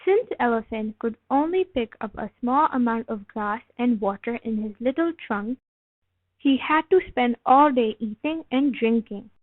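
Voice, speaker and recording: A woman reading a children's picture book aloud in an expressive storytelling style. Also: a little high, measured pace, noisy background. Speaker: storybook reader. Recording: phone-like thin band, dry.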